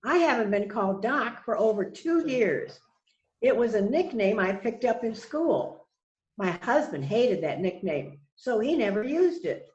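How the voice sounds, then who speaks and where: Animated, earnest wife played by a woman, echoey. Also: fairly high pitch, unhurried. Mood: pleading. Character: anxious wife of murdered man, protesting innocence. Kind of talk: amateur readers theater, read from script. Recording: roomy echo, dull muffled sound.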